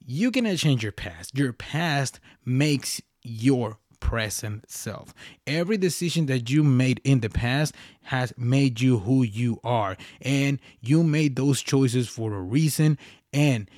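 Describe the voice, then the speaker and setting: A young man talks casually on his own podcast, rambling, restarting sentences and dropping slang. His voice sits at an ordinary middle pitch. The sound is dry and close, with no room echo. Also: animated, slow, a little mellow. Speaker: podcast host. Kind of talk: casual solo podcast talk.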